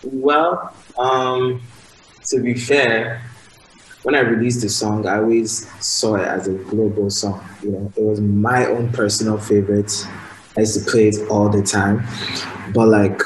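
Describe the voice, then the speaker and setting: Soft-spoken Nigerian man, roomy sound. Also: on the low side, unhurried. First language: Igbo. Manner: soft-spoken, relaxed, lots of fillers. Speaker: guest musician. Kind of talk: casual radio interview. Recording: roomy sound with faint ambience.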